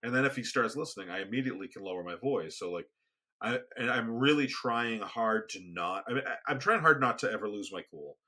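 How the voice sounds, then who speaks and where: Bright-toned soft-spoken man chatting. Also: animated, fairly quick. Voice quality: booming when raised. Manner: soft-spoken, rambling with many restarts. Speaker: podcast co-host. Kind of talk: casual conversation. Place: home studio, remote recording.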